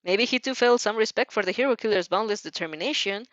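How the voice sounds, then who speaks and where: A woman's voice: mid-range voice, fast talker, fairly bright tone, no room sound. A woman narrating a second-person fan fiction story aloud, informally, stumbling and correcting herself, on a slightly dull home recording.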